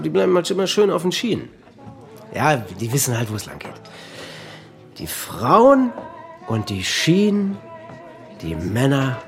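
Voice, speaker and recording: An actor playing a drunk, sentimental family man in a German radio play, talking in halting, repetitive phrases. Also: a little high, creaky, full character voice.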